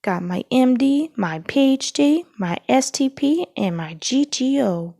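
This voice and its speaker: A woman's voice: sits high, expressive, a little slow, gravelly, mellow, no room sound, clean studio sound, pitched above the performer's own voice, exaggerated voice. Woman voicing a folksy, boastful cartoon tow truck. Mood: confident, urgent. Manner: folksy, confident tall-tale bragging.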